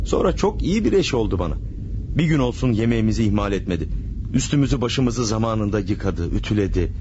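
An actor playing a grieving village husband in an old radio drama, hesitant and sorrowful. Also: male voice, on the low side, sing-song, quick pace, noisy background. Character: grieving village husband searching for his family. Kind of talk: vintage radio drama acting.

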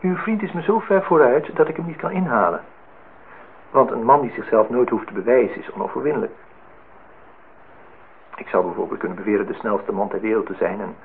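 An actor as an earnest man in his thirties, in love and full of self-reproach. His voice is creaky and he speaks in formal, halting, reflective phrases. It is a radio play with a narrow, telephone-thin sound. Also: lowish voice, close to an everyday voice. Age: 30s. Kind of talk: radio drama acting. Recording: thin phone-like sound, noisy old radio recording.

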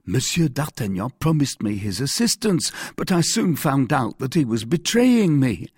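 A man's voice: medium pitch, big pitch swings, normal speed, darkish tone, slightly rough, no echo, studio quality, voice pushed up. A man voicing a timid, anxious husband in an audiobook, protesting and pleading in a whiny, over-earnest way. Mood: anxious, apologetic. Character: timid, pleading middle-class husband, anxious and eager to placate. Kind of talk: dramatized audiobook reading.

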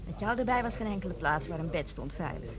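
Actress as world-weary barmaid, dry, teasing, comic radio drama, busy pub crowd behind. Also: female voice, on the low side, expressive, fast talker, lightly acted. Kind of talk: Dutch comic radio play acting.

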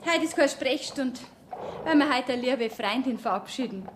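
An actress playing a brisk woman receptionist who turns visitors away in a radio drama. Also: sits high, lively intonation, slight background noise. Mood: dismissive. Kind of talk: German radio drama acting.

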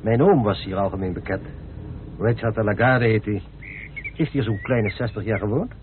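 A man's voice: lowish voice, normal speed, close to an everyday voice. An actor playing an eccentric, hospitable male house owner in a radio play, chatty, courteous and effusive. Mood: eager, hospitable. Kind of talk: Dutch radio drama acting. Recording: tinny, noisy old radio recording.

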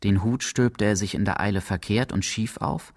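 A man narrating a literary radio drama in a measured, precise and theatrical manner. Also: lowish voice, gravelly, no echo, studio quality.

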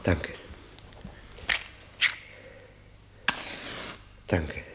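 An actor playing a weary, defiant middle-aged prisoner in a radio drama, speaking haltingly and bitterly. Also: male voice, very noisy, natural voice. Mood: weary, guarded. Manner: halting, repeating words, bitter. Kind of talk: 1960 German radio drama acting.

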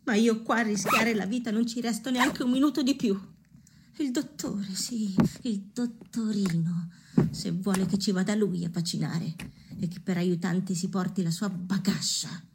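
An actress playing a prim, haughty young nurse, snobbish and defiant, in an Italian radio drama. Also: female voice, mid-range voice, steady tone, measured pace, noisy background, close to an everyday voice. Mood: defiant, disgusted.

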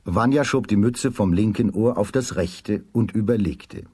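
A man narrating a folk tale in a children's radio play, in a measured, slightly husky storytelling voice. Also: lowish voice, warm tone, dry recording. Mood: calm, descriptive.

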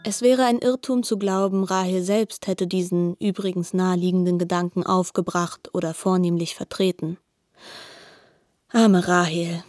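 A woman narrating a literary radio reading in a calm, measured way. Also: fairly low pitch, no echo, studio quality.

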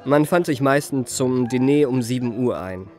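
Man acting young genteel charmer, mid-pitched voice, polished mannered narration, radio play, light music behind. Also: normal speed, a little mellow, natural voice. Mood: amused relish. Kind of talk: literary first-person narration in radio play.

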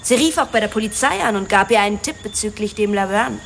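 An actress in a German radio drama playing a spoiled rich daughter who confesses emotionally and justifies herself. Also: female voice, medium pitch, fast, vocal fry, a little hiss or hum, lightly acted. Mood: defensive, bitter.

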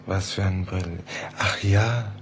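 An actor in a German radio play, playing a troubled, hesitant poet who asks questions with subdued concern. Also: male voice, low-pitched, fairly even intonation, takes it slowly. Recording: noisy old radio play recording.

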